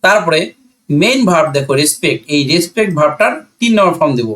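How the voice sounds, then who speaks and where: A Bangladeshi-accented man with a slightly high voice explains grammar like a classroom teacher. He is didactic and methodical, posing questions and answering them himself. Key points come back again in repeated phrases. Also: lively intonation, quick pace, a bit bright.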